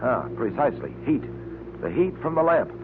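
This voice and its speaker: A male actor as a cool-headed detective hero in a 1940s radio drama. He talks briskly and with confident authority. The sound is tinny and narrow, with faint noise behind. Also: mid-range voice, very animated, close to an everyday voice. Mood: confident, explaining. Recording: tinny, faintly noisy vintage radio recording.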